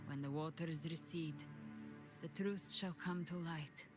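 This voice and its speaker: A grave, knowing advisor in a game cutscene who explains things in a deliberate, serious way. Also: mid-range voice, fairly even intonation, natural voice. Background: game music.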